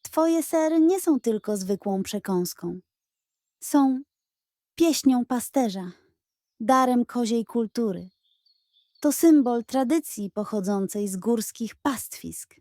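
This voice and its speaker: Woman voicing a pompous high-pitched sly pug. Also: animated, slow, mellow, dry recording, studio quality. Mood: grandiose, moved. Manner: ceremonious, grandiose speechifying. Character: pompous, greedy, sly male pug dog.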